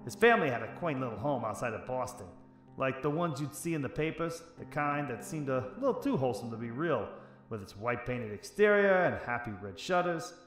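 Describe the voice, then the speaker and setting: A hard-boiled 1940s detective narrates and trades tough slang in a noir radio play. His delivery is wry and terse, with lively swings in pitch and a forceful edge. A little music plays behind him. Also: a little fast, echoey room. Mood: brooding narration. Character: hard-boiled, stubborn 1940s private detective, gruff uncle. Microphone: close studio microphone, headset.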